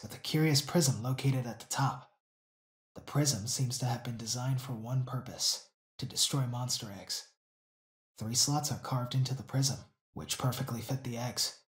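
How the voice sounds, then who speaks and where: A man's voice: mid-range voice, lightly acted. Man as solemn game cultist in echoing room. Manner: formal, ominous, reverent. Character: solemn cultist islander, reverent and foreboding. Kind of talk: video game character acting. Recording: roomy echo.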